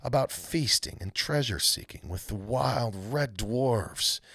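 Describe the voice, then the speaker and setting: A man reading a storybook aloud as an expressive narrator. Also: mid-range voice, unhurried, creaky, dry recording, clean studio sound. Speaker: read-aloud host, storyteller.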